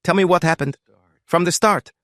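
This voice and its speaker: A man's voice: high voice, moderate pace, fairly bright tone, dry recording, pitched above the performer's own voice. A man voicing a stoic veteran medic, a protective father who speaks in a controlled, firm and commanding way. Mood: calm concern.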